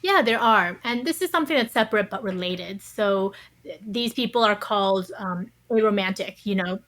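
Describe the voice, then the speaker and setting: A woman's voice: mid-range voice, moderate pace, a bit bright. A woman with a mid-range voice speaks as a guest in an interview. She explains things in an articulate, conversational style.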